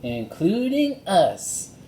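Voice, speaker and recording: Man voicing an eager, high-pitched little girl. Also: wildly swooping pitch, extremely slow, warm tone, echoey room, a little hiss or hum, exaggerated voice. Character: eager, excitable young girl adventurer.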